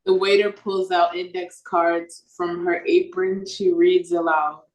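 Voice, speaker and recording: A woman's voice: on the low side, little pitch movement, unhurried, darkish tone. A woman reading stage directions as scripted narration for an audio play. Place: echoey room, over a video call. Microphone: video call. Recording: roomy, echoing video-call audio.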